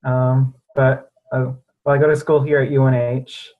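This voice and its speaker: A laid-back university student in his early twenties chats casually, laughing often and filling his talk with "like". He sounds relaxed and friendly. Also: mid-range voice, moderate pace, muffled recording. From New Hampshire, US. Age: early 20s. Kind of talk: casual video call chat.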